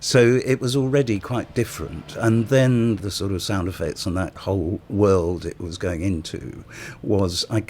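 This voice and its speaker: A man's voice: low voice, a little mellow, slight background noise. A man with a British accent reminiscing in an interview, hesitant and thinking aloud as he goes. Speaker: interviewee, former radio producer.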